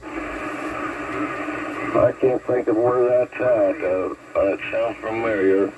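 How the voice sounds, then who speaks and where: A man's voice: lowish voice, fairly even intonation, takes it slowly. Folksy guy on a cb radio, sounds far off, lots of static, casual radio chat. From West Virginia, USA. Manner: folksy, plain-spoken. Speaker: CB radio caller and hobbyist. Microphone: radio transceiver microphone. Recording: muffled, very noisy distant CB radio transmission.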